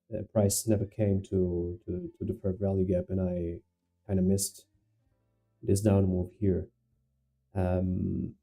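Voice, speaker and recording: A man with a Portuguese accent speaks low and slowly, his voice gravelly and very dark. He hesitates often and repeats words while he walks through his trades and answers viewers. Faint music plays behind him. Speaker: day trader livestreaming to viewers. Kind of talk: live stream commentary and viewer chat.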